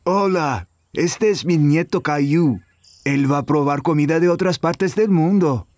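Un actor que interpreta a un abuelo amable y paciente en dibujos animados, hablando con suavidad a un niño. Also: male voice, a little high, unhurried, dry recording, natural voice. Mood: friendly. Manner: gentle, patient, explaining to a child. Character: kind, encouraging grandfather, older man. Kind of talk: children's cartoon dubbing.

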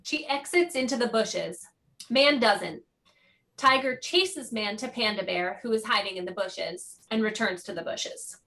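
A woman's voice: fairly high pitch, expressive, a little slow, bright, forceful tone. A woman narrating the stage directions of a play from a script.

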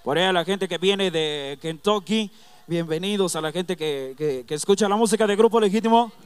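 A man onstage at a live concert, calling out greetings to people and towns in a loud, chanted delivery. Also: sits high, fairly quick, clean tone. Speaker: stage announcer reading shout-outs. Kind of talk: live concert shout-outs. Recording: live band playing behind. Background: live band music.

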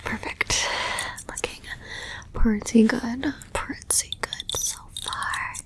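A woman whispering softly in an ASMR personal-attention roleplay, gently reassuring, with repeated trigger words and small chatty asides. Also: on the high side, fairly even intonation, takes it slowly, low-quality recording. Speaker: ASMR creator.

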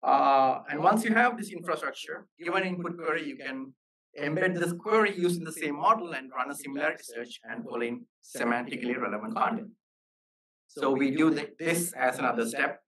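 A man presenting as a software engineer at a meetup, explaining technical material in halting phrases. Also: fairly high pitch. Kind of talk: technical conference talk. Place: live meetup talk. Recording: voice slightly distant from microphone.

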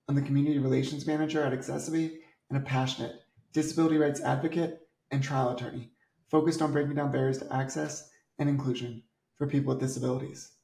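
Gravelly upbeat host on a video call. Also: male voice, a little high, darkish tone. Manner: upbeat, enthusiastic, with repeated words in questions. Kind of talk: scripted intro then interview questions. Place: indoor home office. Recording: echoey room on video call.

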